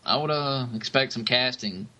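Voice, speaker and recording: A man co-hosting a podcast, chatting informally in a halting way. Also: mid-range voice, pushed and bright. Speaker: podcast co-host. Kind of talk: casual podcast chat.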